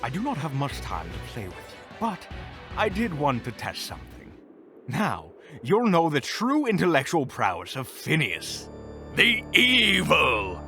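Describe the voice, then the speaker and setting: A forceful, swooping villain of fantasy audio drama. This vain evil sorcerer talks slowly and grandly, with pompous dramatic pauses and a temper that is easily ruffled. Music plays behind him. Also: male voice, a little high, huge pitch swings, exaggerated voice. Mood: grandiose. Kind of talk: fantasy audio roleplay acting.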